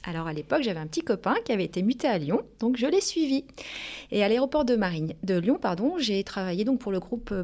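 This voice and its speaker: A woman, telling her career story as a guest in a lively way, with frequent hesitations. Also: mid-range voice. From Paris region, France. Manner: lively, hesitant with many fillers. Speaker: company director, guest. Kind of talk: casual interview storytelling.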